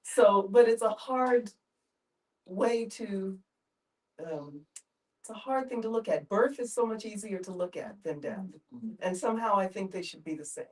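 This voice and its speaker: An older woman talks in a group discussion, picked up from some distance. She is reflective and halting, often searching for the right words. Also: medium pitch. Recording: distant microphone.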